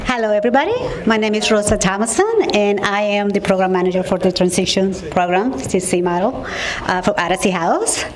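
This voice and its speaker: A woman's voice: medium pitch, expressive, fairly quick. A woman from Honduras, a program manager giving a conference presentation that is partly read. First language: Spanish. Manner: hesitant, many fillers at first.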